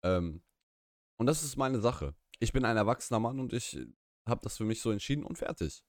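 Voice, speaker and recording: A man's voice: mid-range voice, lively intonation, fairly quick, no room sound, studio quality. A young man whose first language is Russian, co-hosting a podcast in a casual, rambling, opinionated way with many restarts. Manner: rambling, self-correcting, opinionated. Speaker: podcast co-host. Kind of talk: casual chat. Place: small room, live stream.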